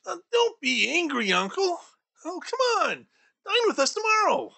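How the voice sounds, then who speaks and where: A man doing a high, bright eager young nephew. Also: huge pitch swings, a little slow, creaky, exaggerated voice. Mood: pleading. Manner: warm-hearted, pleading and emphatic. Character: cheerful earnest young man, kind nephew. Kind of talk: storybook reading.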